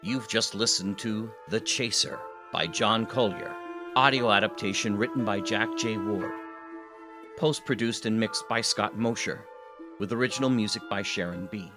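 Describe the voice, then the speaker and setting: Low-pitched male announcer over music. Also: moderate pace. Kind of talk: scripted credits read. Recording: music playing behind voice.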